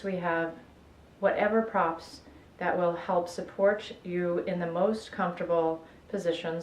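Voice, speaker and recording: A woman guiding a relaxation meditation in a measured, soothing way, with gentle pauses. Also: lowish voice. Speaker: yoga nidra guide. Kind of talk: guided relaxation meditation, instructional narration. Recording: echoey room with faint background noise.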